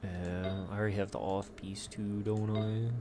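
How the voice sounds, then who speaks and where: A man's voice: lowish voice, level tone, normal speed, background noise. A man doing casual video game commentary, talking to himself and drifting between exclamations and muttering.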